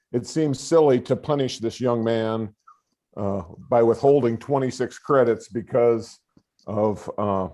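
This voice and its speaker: An older man who has coached for decades, explaining a student's case to a board over a remote call in a loud, earnest voice full of fillers. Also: medium pitch. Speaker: school administrator and longtime coach.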